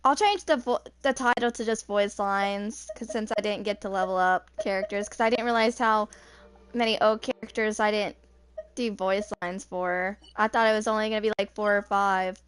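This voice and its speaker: A woman's voice: mid-range voice, a bit bright, smooth. A woman in her twenties giving casual gameplay commentary as she streams. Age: 20s. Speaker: gaming streamer.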